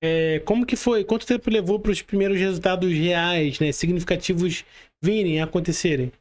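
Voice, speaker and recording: High-pitched Brazilian male podcast host. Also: moderate pace. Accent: Brazilian Portuguese. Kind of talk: casual interview.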